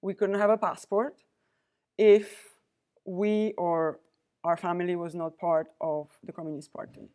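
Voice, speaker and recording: A woman delivers a prepared lecture to a university audience. She speaks at a middling pitch, in a measured, deliberate way, and pauses between the items she lists. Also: darkish tone. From Poland. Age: 40s. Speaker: guest lecturer, diplomat.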